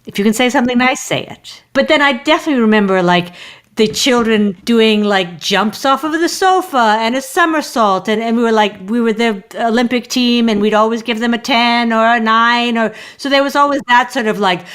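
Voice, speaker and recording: A woman's voice: fairly high pitch, normal speed, fairly bright tone, clean tone. Reflective middle-aged woman in conversation. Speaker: panel guest.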